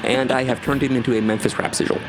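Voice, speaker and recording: A man's voice: medium pitch, steady tone, quick pace, background noise. A man narrating his own scripted video, heard as playback. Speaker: video creator. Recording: played-back video with music underneath.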